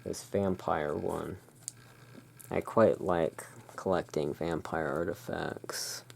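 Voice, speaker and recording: A soft-spoken ASMR performer playing the relaxed owner of a gothic curiosity shop in a soothing, unhurried manner. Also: deep voice, creaky.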